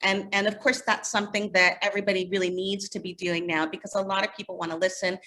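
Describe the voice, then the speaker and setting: A woman answering interview questions in a casual, animated storytelling way. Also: mid-range voice, quick pace. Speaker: interview guest, magazine editor.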